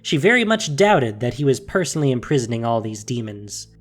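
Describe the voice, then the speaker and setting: A narrator reading a scripted fantasy story in a measured, dramatic way. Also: mid-range voice, sing-song, soft background music.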